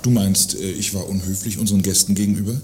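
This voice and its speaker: A man's voice: sits low, fairly even intonation, fast, darkish tone, close to an everyday voice. A man acting a self-righteous, pompous arms manufacturer in a satirical radio play, speaking formally and indignantly. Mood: defensive, strained. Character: self-righteous, award-winning male arms manufacturer and husband. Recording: roomy sound with faint noise.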